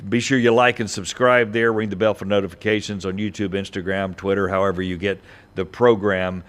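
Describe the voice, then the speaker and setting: A man's voice: on the low side, little pitch movement, fairly quick, fairly bright tone. A man hosting a sports podcast, talking and interviewing in a conversational way.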